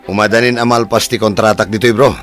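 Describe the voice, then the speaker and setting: A man's voice: fairly low pitch, steady tone, fast, fairly bright tone, faint music behind, natural voice. A man playing a friendly, practical building contractor in an audio drama.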